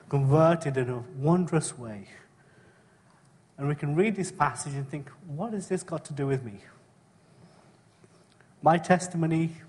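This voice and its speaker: A middle-aged man who was born in Kenya and raised in England, preaching a sermon about his own testimony in a conversational way, often asking his listeners tag questions and clearing his throat. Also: a little high, a little mellow, in a large hall. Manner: conversational, asks the congregation frequent tag questions.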